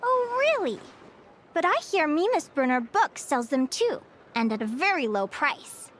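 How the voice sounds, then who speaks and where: A woman's voice: sits high, big pitch swings, unhurried, a bit bright, slight background noise, exaggerated voice. An actress playing a cheerful young adventuring advisor in a video game, perky and instructive as she quizzes listeners. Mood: upbeat, instructive. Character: Linnea, cheerful young female adventuring advisor teaching a crowd.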